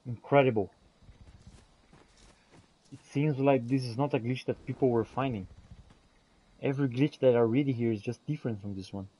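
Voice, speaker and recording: A man's voice: medium pitch, normal speed, long pauses, dark tone, slightly rough, poor audio. A man giving casual commentary while he plays a video game. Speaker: gamer playing and commentating.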